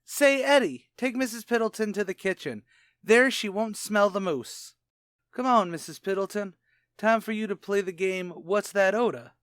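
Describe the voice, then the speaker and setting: An actor playing a brash, wisecracking tavern manager who mixes street slang with pompous words in old-time radio comedy. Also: male voice, high voice, normal speed, fairly bright tone, smooth, no room sound, studio quality. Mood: mock-genteel. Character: brash wisecracking tavern manager man, mock-genteel malapropist. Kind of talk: 1940s radio comedy acting.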